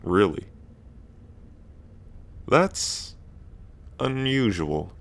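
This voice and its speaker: A man's voice: fairly low pitch, animated, extremely slow, gravelly, a bit bright, full character voice. A man playing an ancient dragon king in human form, smug and teasing, with a drawling, taunting delivery in a roleplay ASMR. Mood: intrigued.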